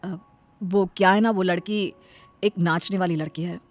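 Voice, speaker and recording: A woman's voice: on the low side, steady tone, moderate pace, lightly acted. A woman voicing an earnest, lovestruck young man in a storybook tale, pleading and insistent. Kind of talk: storybook character dialogue. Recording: background music under the voice.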